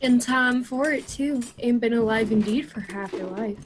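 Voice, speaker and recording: A woman plays a greedy, callous working-class thief in a radio play. She talks colloquially in a fairly high voice, with clear noise and clicks on the home call recording. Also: normal speed, lightly acted.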